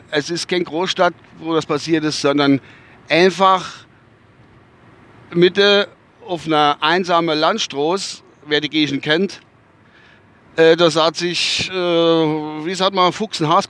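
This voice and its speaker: A man with a Westphalian accent talks through his podcast from inside a moving car. His voice sits slightly high for a man. He rambles, restarts sentences and hunts for words, with the odd casual swear. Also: fairly bright tone. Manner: rambling, searching for words, many fillers and restarts. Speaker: podcast host. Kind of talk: casual spoken podcast monologue. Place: inside a car while driving.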